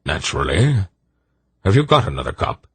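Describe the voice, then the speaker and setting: A man voicing a wry, gruff old man who talks dryly and curtly. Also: sits low, sing-song, moderate pace, no room sound, heavily acted.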